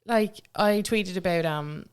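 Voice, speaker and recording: A young Irish woman co-hosting a podcast, telling stories in an animated, slangy way on a studio mic. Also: medium pitch, slow, smooth. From Ireland. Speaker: podcast co-host. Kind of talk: casual chat.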